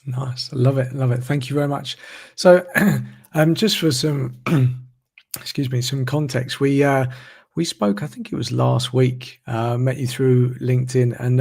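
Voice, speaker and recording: A man's voice: medium pitch, unhurried, vocal fry, darkish tone. A man hosting a live online interview, rambling and repeating words as he talks. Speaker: host.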